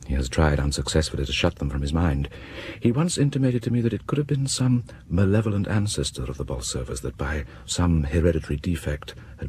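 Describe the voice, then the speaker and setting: An actor playing a grave, sinister British narrator of radio horror tales, deliberate and dropping into whispers. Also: male voice, extremely low pitch, darkish tone. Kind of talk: dramatic scripted horror narration. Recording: faintly noisy vintage radio broadcast.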